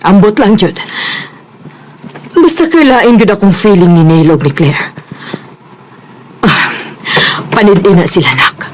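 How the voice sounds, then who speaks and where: A woman's voice: mid-range voice, lively intonation, a little slow, long pauses, a little hiss or hum, lightly acted. An actress with a Bisaya Filipino accent playing an older, suspicious mother who insinuates and nags in a radio drama. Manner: insinuating, nagging.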